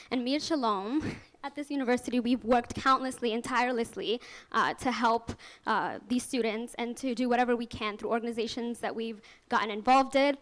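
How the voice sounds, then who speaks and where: A young woman from Ethiopia giving a live startup pitch, nervous and earnest, laughing often and using many fillers. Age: young adult. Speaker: student startup founder pitching. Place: venture lab event room.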